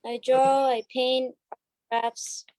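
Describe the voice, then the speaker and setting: High-pitched, mellow-voiced woman chatting. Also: little pitch movement, very slow, dry recording, rough recording. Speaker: stage play cast member being interviewed. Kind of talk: casual chat.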